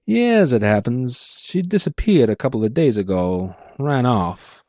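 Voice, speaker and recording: A man's voice: mid-range voice, very animated, a little slow, darkish tone, no room sound, highs cut off. A man voicing a grim, terse clockwork crime boss with a brass jaw in a noir story reading. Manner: terse, grim, clipped replies.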